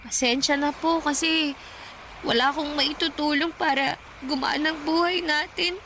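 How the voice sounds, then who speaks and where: A timid, dutiful teenage Filipina granddaughter in a radio drama. Her voice is high and her delivery polite and apologetic. There is faint noise and ambience behind her. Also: steady tone, moderate pace, pushed and bright. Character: meek, dutiful fifteen-year-old provincial granddaughter. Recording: some background noise and ambience.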